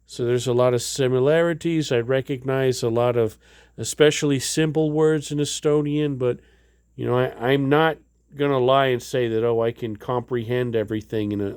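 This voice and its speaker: A man's voice: medium pitch, fairly even intonation, normal speed, a little mellow, smooth, no room sound, studio quality. A man casually showing items in a home vlog, rambling with many fillers and restarts. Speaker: vlogger. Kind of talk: casual show-and-tell vlog.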